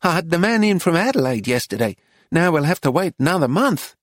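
Audiobook role: bossy middle-aged woman art dealer, played by a man, pitched high, swooping delivery, close dry sound. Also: very animated, a little fast, dry recording. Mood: scolding, exasperated. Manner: scolding, emphatic saleswoman. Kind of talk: acted audiobook dialogue.

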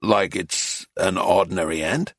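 A man's voice: low-pitched, a little slow, gravelly, fairly bright tone, husky, dry recording, clean studio sound, pitched below the performer's own voice, full character voice. A man voicing an amiable, literal-minded American male tourist in an audiobook, questioning and puzzled. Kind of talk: acted audiobook dialogue.

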